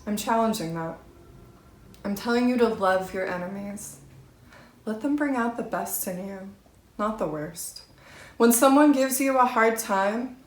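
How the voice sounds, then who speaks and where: A woman's voice: medium pitch, a little hiss or hum. A woman reading scripture aloud for a church, with emphatic, direct delivery. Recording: roomy, echoing sound.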